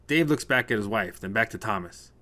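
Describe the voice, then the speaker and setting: A man's voice: on the low side, lively intonation, fairly quick, sharp tone. A man narrating a scripted short story as a storyteller.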